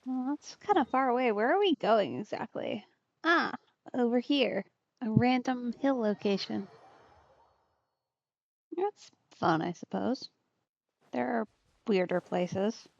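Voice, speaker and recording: A woman's voice: on the high side, lively intonation, slow, gravelly, pauses often, muffled recording. A woman giving casual, laid-back let's play commentary as a streamer, musing to herself. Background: faint game music.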